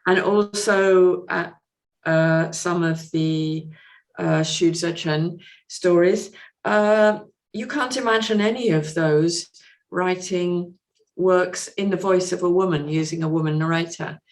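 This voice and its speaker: Woman with a low, creaky voice. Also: steady tone, takes it slowly. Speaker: podcast guest. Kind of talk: casual literary discussion.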